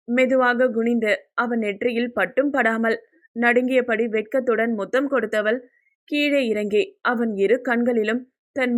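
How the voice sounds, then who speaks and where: A woman reading a scripted audio novel as a dramatic storyteller. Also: fairly high pitch.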